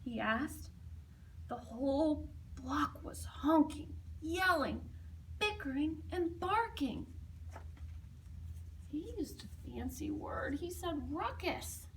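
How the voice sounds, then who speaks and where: A woman reading a children's picture book aloud expressively, with dramatic emphasis and hushed asides to young listeners. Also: high voice, lively intonation, takes it slowly, long pauses, distant mic, slight background noise, low-quality recording. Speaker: storybook reader.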